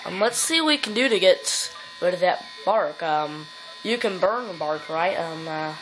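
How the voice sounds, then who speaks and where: A woman's voice: fairly low pitch, animated, moderate pace, over music, low-quality recording. A woman casually commenting on her gameplay, rambling with many fillers, giggles and silly rhymes, recorded on a phone at home. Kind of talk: casual let's play commentary. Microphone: phone filming the TV.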